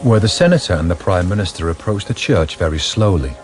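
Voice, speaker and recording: Narrator, low pitch, grave, measured, crime radio drama, music underneath. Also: male voice, expressive.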